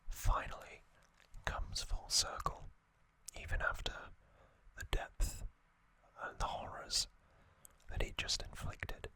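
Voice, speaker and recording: A man's voice: slow pace, pushed and bright, no echo, rough recording. A man whispering in ASMR style as he recounts trivia, hesitant and full of ums and uhs. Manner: hesitant, self-correcting delivery. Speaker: ASMR creator.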